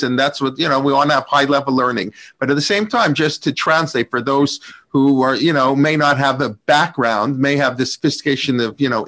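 A man's voice: mid-range voice, fairly bright tone. A man hosting a class discussion, talking conversationally with many fillers. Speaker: host of a class series.

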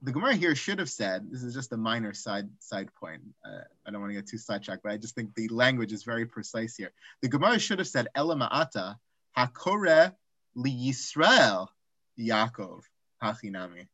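A man teaching an online Talmud class, raising rhetorical questions and dropping into quiet asides. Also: medium pitch, moderate pace, no echo. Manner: animated, asks rhetorical questions, drops to asides.

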